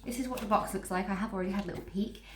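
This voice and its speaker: A woman's voice: mid-range voice, rapid-fire. Upbeat woman with a stuffy cold. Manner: chatty, upbeat, restarts sentences. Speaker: book vlogger unboxing a subscription box. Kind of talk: casual unboxing chat with read-aloud blurbs. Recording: echoey room with faint noise.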